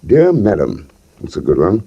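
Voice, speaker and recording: An actor playing a middle-aged professor, urbane and measured, sly beneath a genial surface, in 1940s radio drama style. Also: male voice, low voice, wildly swooping pitch, vocal fry, slightly rough, muffled recording, exaggerated voice. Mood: controlled unease. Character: sly, outwardly genial professor hiding a wife's murder. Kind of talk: 1940s radio drama acting with first-person narration. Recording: vintage radio recording, faint music.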